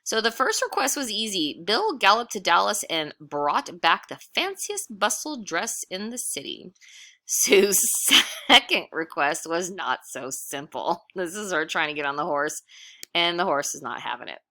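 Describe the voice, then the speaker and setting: A woman reads a children's storybook in a bright, forceful voice that sits a little low. She takes her time with the text and adds playful, amused asides straight to the listener. The sound is dry and close. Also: a little slow, no echo. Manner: playful, amused, animated, addresses listeners directly. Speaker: read-aloud storyteller. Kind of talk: picture-book read-aloud with casual asides.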